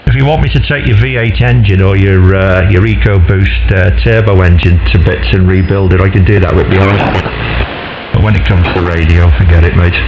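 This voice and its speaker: Man, low-pitched, hoarse and nasal, chatty radio amateur; muffled static-laden HF signal. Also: steady tone, brisk. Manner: chatty, friendly, signs off with call sign. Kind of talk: casual radio chat. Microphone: received over HF radio. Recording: roomy, muffled shortwave radio with loud static.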